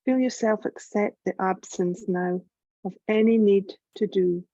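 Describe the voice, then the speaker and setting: A woman leading a guided yoga nidra meditation in a gentle, calm, measured voice with long pauses. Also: medium pitch, no room sound, lo-fi sound. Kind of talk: slow guided relaxation meditation narration.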